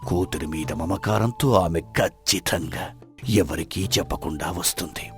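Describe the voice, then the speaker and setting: A man's voice: low voice, unhurried, faint music behind. A man acting an arrogant, scheming lord, a folk-tale villain with a haughty, commanding manner. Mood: gloating, scheming.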